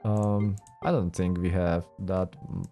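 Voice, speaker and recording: A man's voice: sits low, steady tone, slow pace, mellow. A man doing casual let's play commentary as host of a game playthrough. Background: game music.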